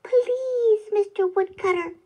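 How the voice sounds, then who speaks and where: A woman's voice: very high voice, slow, highs cut off, voice pushed up. A woman voicing a small, polite male elf in a children's storybook, pleading and solemn in manner.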